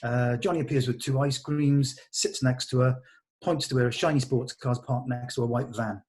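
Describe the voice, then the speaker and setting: A man's voice: mid-range voice, fairly even intonation, moderate pace, a little mellow. A man leading a script read, narrating stage directions in an informal, matter-of-fact, slightly hesitant way. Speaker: table read leader and narrator.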